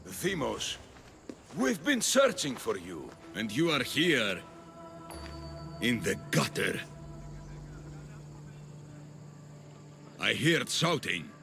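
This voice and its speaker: An actor playing a scheming, condescending politician villain in a video game, theatrical and patronizing. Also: male voice, medium pitch, huge pitch swings, slow pace, vocal fry, pauses often, pushed and bright, faint music behind, heavily acted. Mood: mocking. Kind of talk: dramatic video game cutscene acting.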